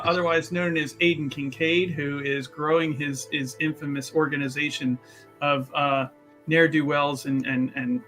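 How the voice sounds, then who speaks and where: A man with a bright, fairly high voice chatting casually as a tabletop player. He rambles, restarting phrases and leaning on fillers, while faint music plays under him. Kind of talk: casual chat introducing his character.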